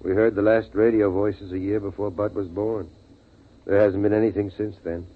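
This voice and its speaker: Husky, low-voiced steady father, acted by a man. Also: moderate pace, close to an everyday voice. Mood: calm, puzzled. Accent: American. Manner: calm, authoritative, reassuring. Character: steady, protective, practical father of a family. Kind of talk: 1950s radio drama acting. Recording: narrow-band 1950s radio recording.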